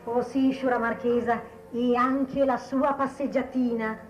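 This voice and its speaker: An actress as a respectful maidservant with a high voice. She is formal and deferential to her betters. The old television soundtrack is dull and echoey. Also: fairly even intonation, measured pace, faint music behind, lightly acted. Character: deferential female household servant of a noblewoman. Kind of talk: 1950s Italian television period drama acting.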